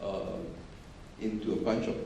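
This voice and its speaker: A man's voice: lowish voice, level tone, a little slow, gravelly, mellow, a little hiss or hum. A man speaking matter-of-factly as a panelist, with frequent restarts and fillers. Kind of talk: panel discussion. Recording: echoey hall, distant mic.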